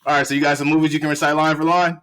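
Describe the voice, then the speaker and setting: Upbeat male interviewer, bright tone. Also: a little high, rapid-fire, clear, resonant tone. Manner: relaxed, upbeat, chuckles along. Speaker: interview host. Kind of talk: casual interview chat.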